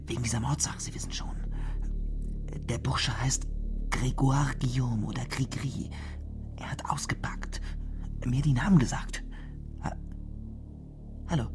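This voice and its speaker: A man's voice: medium pitch, steady tone, a little slow, pauses often, in a whisper, lightly acted. Man as curt police lieutenant over music. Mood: hushed, agitated. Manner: curt, interrogating. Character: gruff male police lieutenant, blunt and pressured. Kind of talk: German radio crime drama acting.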